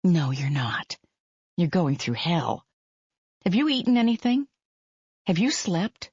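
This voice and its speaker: A steady, caring woman friend, soothing but firm, speaking with a gentle lift, as a woman reader puts her on. The sound is dry and somewhat dull. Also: lowish voice, slow pace, slightly rough, no room sound, heavily acted. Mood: concerned.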